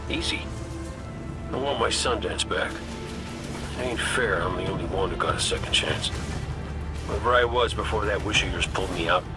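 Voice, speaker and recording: A man's voice: deep voice, moderate pace, long pauses, over loud music, natural voice. A man playing a cocky gunslinger robot in a video game, talking in a wry, folksy, colloquial way. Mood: wry, wistful. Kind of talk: scripted video game dialogue.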